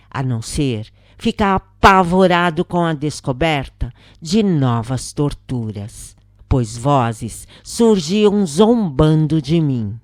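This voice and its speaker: A Brazilian woman narrates in a low-pitched voice at an unhurried, slow pace. Her reading is solemn and theatrical, with deliberate phrasing. The sound is very dry, with no room around it. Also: a little mellow, no echo. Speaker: narrator reading a spiritist account. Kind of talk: dramatic scripted narration.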